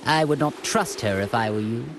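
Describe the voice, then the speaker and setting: A man's voice: medium pitch, normal speed, noisy background, close to an everyday voice. A man voicing a haughty, vengeful alien tyrant in a video game cutscene, with an imperious, precise manner. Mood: scheming, cold. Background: loud music.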